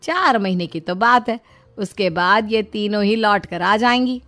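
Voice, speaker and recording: A woman's voice: medium pitch, expressive, a little slow, fairly bright tone. A woman voicing a shrewd middle-aged mother-in-law in a story, coaxing, motherly and firm. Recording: music behind the voice.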